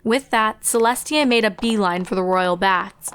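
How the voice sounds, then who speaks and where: A woman's voice: mid-range voice, normal speed, fairly bright tone, a little hiss or hum. A woman narrator reading a scripted story aloud. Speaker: fan fiction narrator.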